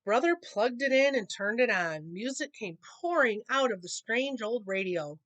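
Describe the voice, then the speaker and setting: A woman reading a children's picture book aloud in an expressive storytelling manner. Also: a little high, normal speed, no echo, dull audio. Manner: expressive reading, acting out dialogue.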